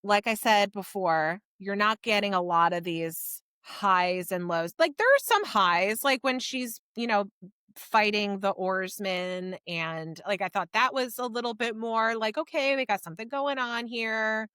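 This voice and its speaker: A woman co-hosting a podcast, talking casually about books in a measured, conversational way. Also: medium pitch, pushed and bright, clear, resonant tone, no room sound, studio quality. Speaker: podcast co-host. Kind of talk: casual book review chat.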